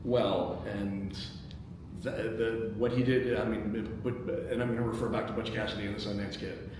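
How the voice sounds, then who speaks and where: An American man from Chicago hosting a casual panel discussion, speaking haltingly and repeating words as he goes. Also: on the low side, fairly even intonation. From Chicago, United States. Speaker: host, screenwriter. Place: echoey indoor room at a table read event. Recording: echoey room, distant mic, clear background noise.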